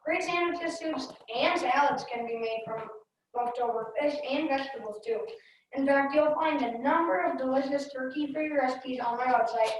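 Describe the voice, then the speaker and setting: Young girl reading play lines, high voice, super echoey and far from the mic. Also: moderate pace. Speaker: readers theater performer. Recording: echoey room, distant mic, hiss.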